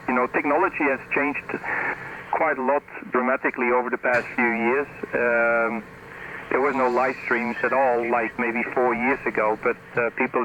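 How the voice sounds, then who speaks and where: A man's voice: mid-range voice, dull audio. A man talking casually as an amateur radio operator, heard through a shortwave radio transmission. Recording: noisy ham radio reception. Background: clear radio noise.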